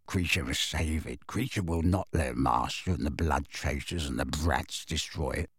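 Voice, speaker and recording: A man voicing an old male house-elf servant who mutters bitterly under his breath, low and slow. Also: no room sound, heavily acted. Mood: muttering bitterly.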